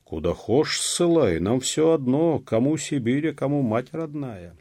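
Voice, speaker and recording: A man voicing indignant, rough peasant men in an audiobook, loud, heated and folksy in his exclaiming. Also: medium pitch, animated, a little slow, voice pushed up. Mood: defiant.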